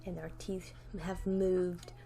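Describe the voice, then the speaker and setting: A woman's voice: lowish voice, level tone, slow pace, gravelly, dark tone. A young woman vlogger chatting casually to camera with a slight lisp from a new retainer, rambling with lots of "like" and restarts. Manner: rambling, many "like"s and restarts, light laughs.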